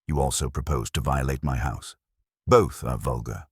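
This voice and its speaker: A man voicing a stern, unhurried older detective who speaks in formal, measured, clipped pronouncements, in an audiobook mystery. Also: very deep voice, slightly rough, dry recording, clean studio sound, voice pushed down, exaggerated voice. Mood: stern, unruffled.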